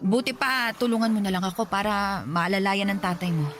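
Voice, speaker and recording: A woman's voice: mid-range voice, fairly quick, fairly bright tone, soft background music, lightly acted. An actress playing a stressed, middle-aged mother who scolds her daughter. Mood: worried. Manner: scolding, exasperated.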